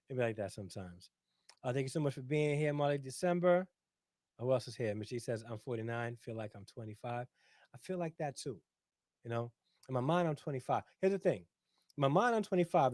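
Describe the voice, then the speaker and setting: A man's voice: mid-range voice, a little mellow, no echo, clean studio sound. A middle-aged man hosting a casual livestream, talking at length, reading out viewer comments and often checking in with "right?". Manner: talkative, repeats himself, says "right?" often. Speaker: livestream host.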